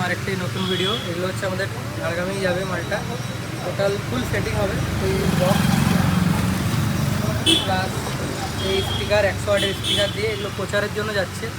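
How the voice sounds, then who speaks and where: A young man with a West Bengal Bengali accent, presenting products casually in an informal, repetitive, reassuring way. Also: high voice. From West Bengal, India. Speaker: speaker repair technician and channel host. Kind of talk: casual product showcase vlog. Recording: loud steady background noise, amateur recording.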